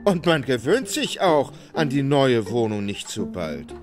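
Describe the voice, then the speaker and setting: An actor playing a lethargic, fretful Russian gentleman in a radio play, querulous and full of whining self-pity. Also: medium pitch, big pitch swings, measured pace. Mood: fretful, complaining. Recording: music behind the voice.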